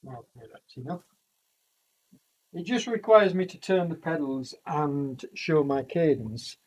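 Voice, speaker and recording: A man with a chest cold giving loose, rambling live commentary on a virtual cycling event as its host, often pausing and correcting himself. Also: a little high, darkish tone, low-quality recording. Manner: rambling, self-correcting, many fillers. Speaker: e-racing commentator and streamer.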